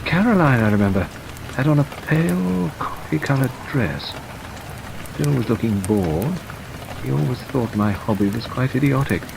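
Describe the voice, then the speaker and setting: A man voicing a fussy, gentle older English gentleman who sounds flustered and hesitant, his voice jumping up high. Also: moderate pace, gravelly. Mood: fretful. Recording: steady rain noise behind.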